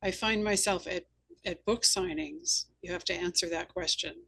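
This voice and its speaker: A woman hosting a conversational interview among authors, speaking in a thoughtful, measured way. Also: on the low side, gravelly, a bit bright, slight background noise. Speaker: host and author.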